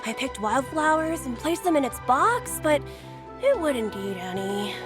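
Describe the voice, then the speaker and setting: An actress voicing a curious, naive young woman in a game, wondering and slow-spoken. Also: high voice, big pitch swings, music in the background.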